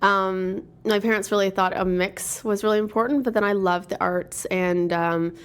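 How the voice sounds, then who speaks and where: Middle-aged woman from Toronto, guest on a podcast, upbeat and relaxed, mid-pitched voice. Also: moderate pace, clear, resonant tone. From Toronto, Canada. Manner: warm, upbeat, many ums. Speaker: interview guest, marketing executive. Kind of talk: casual conversation.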